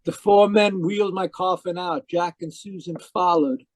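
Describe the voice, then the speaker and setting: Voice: medium pitch, steady tone, moderate pace, dry recording, close to an everyday voice. An actor in a radio mystery playing a distraught, newly dead spiritualist, dramatic and earnest. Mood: haunted narration. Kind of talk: old-time radio mystery acting and narration.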